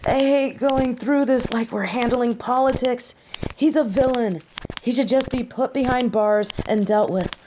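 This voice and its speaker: A woman's voice: on the high side, unhurried, background noise, natural voice. A woman acting a caring, brash and loyal young superheroine in a scripted audio roleplay, with a conversational, sassy delivery. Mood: annoyed. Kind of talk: scripted one-sided audio roleplay drama.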